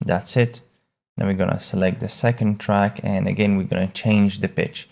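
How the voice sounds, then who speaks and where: A man presenting a casual software tutorial in a hesitant, halting way, often repeating words as he explains each step. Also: lowish voice, steady tone, creaky.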